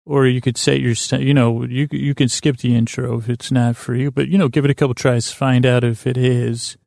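Creaky, mellow man with an even drone. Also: mid-range voice, no room sound, clean studio sound. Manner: meandering, halting, self-deprecating. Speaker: podcast host. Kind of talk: rambling, soothing bedtime monologue.

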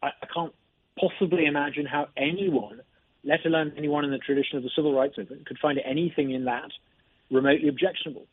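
Male guest, husky, slightly higher voice, tinny phone line, measured with frequent restarts. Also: steady tone, dry recording. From not from Mississippi. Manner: measured, repeats words when starting sentences. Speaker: interview guest, state lawmaker. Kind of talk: phone interview.

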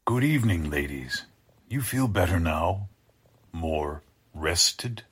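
An actor playing a deranged, sinister scientist with a husky voice, over-polite and menacing, in the style of 1940s radio drama. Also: male voice, low-pitched, animated, slow pace, fairly bright tone, heavily acted. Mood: silky politeness. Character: deranged male scientist, sinister, courtly, gloating captor.

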